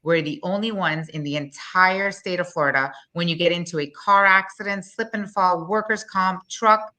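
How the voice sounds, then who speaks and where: A woman's voice: fairly low pitch, bright, forceful tone. A woman in her forties from Guyana with a Guyanese accent, a business owner being interviewed, talking bluntly and emphatically. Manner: blunt, fast-flowing, emphatic. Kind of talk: interview, promotional pitch.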